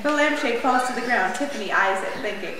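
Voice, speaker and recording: A woman's voice: fairly high pitch, expressive, fairly quick, noisy background. A young woman reading stage directions as scripted narration at an informal table read. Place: indoor lounge with a seated group reading scripts. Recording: echoey room with long reverb.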